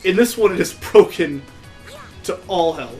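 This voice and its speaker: Guy on a lets play, bit high voice, amused and sarcastic, game music behind him. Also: animated, moderate pace, a little mellow. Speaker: let's play video host. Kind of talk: casual gameplay commentary.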